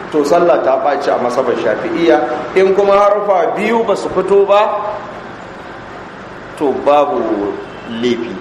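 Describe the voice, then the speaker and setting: A Nigerian Hausa man, an Islamic scholar, teaching a religious text in a didactic way, reading and explaining and putting questions to his listeners. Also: high-pitched. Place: large echoing mosque interior. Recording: echoey hall, distant reverb, clear background noise.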